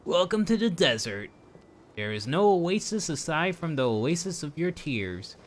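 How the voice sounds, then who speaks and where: A man's voice: fairly high pitch, big pitch swings, a little slow, a little hiss or hum. A man doing casual, rambling live commentary on a video game, playfully chatting to his viewers, with game music behind him. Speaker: game streamer.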